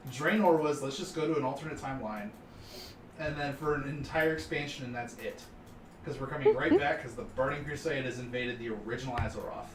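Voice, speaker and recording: A man's voice: mid-range voice, a little fast, a crowd in the background. A man co-commentating on a game stream in casual chat, opinionated and explanatory, swearing freely. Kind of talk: casual chat about games. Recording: roomy, distant mic with music behind. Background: music.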